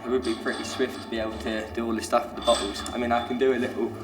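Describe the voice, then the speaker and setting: A young man talks cheerfully and confidently, restarting his sentences as he works. His voice sits mid-range. The sound carries a roomy echo, with steady background noise around him. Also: medium pitch, rapid-fire. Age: 20s. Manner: chatty, upbeat, self-assured, restarts sentences. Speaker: trainee restaurant supervisor. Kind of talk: documentary interview and on-the-job talk.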